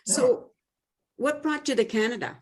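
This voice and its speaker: A woman's voice: high voice, wildly swooping pitch, a little slow. A woman interviewing a guest in a casual chat, encouraging him with short affirmations.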